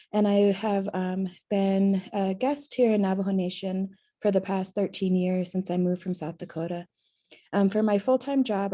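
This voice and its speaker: A slightly hoarse woman opening a webinar presentation, talking earnestly with pauses and "um" fillers. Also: medium pitch, warm tone.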